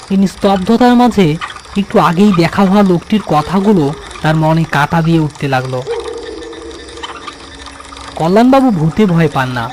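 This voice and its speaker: A man's voice: fairly high pitch, noisy background. A man narrating a scripted horror story as its storyteller.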